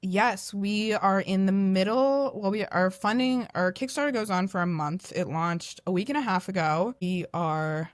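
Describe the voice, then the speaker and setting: A young woman who is a podcast guest, talking in a loud, animated, self-deprecating way. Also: medium pitch, normal speed, hardly any pauses, no room sound, studio quality. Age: young adult. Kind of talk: candid podcast conversation.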